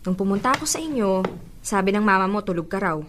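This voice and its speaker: A woman's voice: mid-range voice, lively intonation, quick pace, close to an everyday voice. A woman with a Filipino accent acting a direct, questioning young woman in a radio drama, with faint background noise. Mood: reproachful. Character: questioning young woman, hurt close friend.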